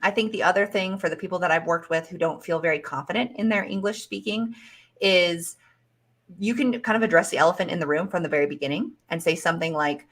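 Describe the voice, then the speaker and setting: A woman career coach giving interview advice in a measured, encouraging way. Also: on the low side, steady tone, a bit bright. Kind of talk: casual advice chat.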